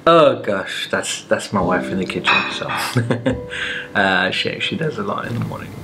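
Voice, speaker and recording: A man talking casually to his camera early in the morning, relaxed and chatty with small fillers. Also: lowish voice, a little slow, over loud music, low-quality recording. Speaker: vlogger.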